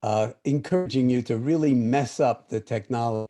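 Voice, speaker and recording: An older man, a meditation teacher, talking in a calm, reflective way during a livestream question session. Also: medium pitch, normal speed. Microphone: video call.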